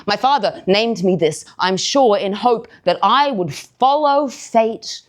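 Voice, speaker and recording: A woman playing a brooding, strong-willed young male villain in a deliberate, declamatory verse monologue on stage. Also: medium pitch, lively intonation, pitched above the performer's own voice. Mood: bitter, brooding. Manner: declamatory, deliberate, weighty pauses. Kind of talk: theatrical Shakespearean verse monologue.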